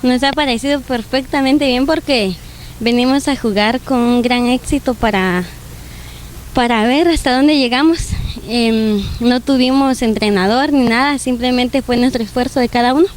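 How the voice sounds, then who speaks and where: High-voiced young Guatemalan woman player. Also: normal speed, a little hiss or hum. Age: young adult. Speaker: youth football player.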